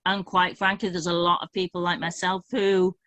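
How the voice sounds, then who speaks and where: A woman's voice: fairly low pitch, flat delivery, moderate pace, fairly bright tone, dry recording. Woman, not from texas, chatty, restarts, dry close sound. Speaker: property owner, audience member. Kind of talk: casual discussion.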